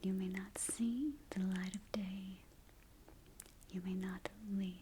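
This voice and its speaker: Slow whispering ghost guide played by a woman. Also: medium pitch, fairly even intonation, noisy background, natural voice. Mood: hushed, cautioning. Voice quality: breathy whisper. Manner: slow, hushed, deliberate instructions. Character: ghost guide briefing a newcomer to the afterlife. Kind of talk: soft-spoken ASMR roleplay.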